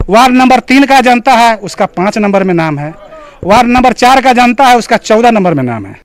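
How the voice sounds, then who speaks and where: Village guy complaining to a reporter, super high voice, repeats himself listing stuff. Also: sing-song, a little fast. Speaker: local villager interviewed.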